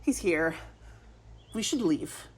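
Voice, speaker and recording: A woman's voice: on the low side, expressive, slow pace, darkish tone, slight background noise. Uneasy woman investigator. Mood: panicked, urgent. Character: uneasy, watchful woman investigator, secretly recording. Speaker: narrator and lead character of an audio drama. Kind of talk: scripted first-person narration and dialogue.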